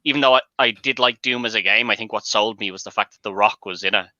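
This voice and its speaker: A man's voice: fairly low pitch, quick pace, pushed and bright, no room sound. A man giving his opinions as a guest in a casual panel discussion. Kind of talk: casual chat. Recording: dry, dull lo-fi audio.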